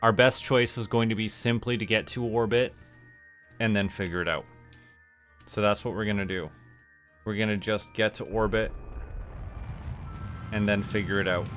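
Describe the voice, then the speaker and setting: Man, fairly low pitch, casual gaming livestream, thinking out loud, music in background. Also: steady tone, normal speed, pauses often. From Canada. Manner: thinking aloud. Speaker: game streamer. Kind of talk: casual livestream gameplay commentary.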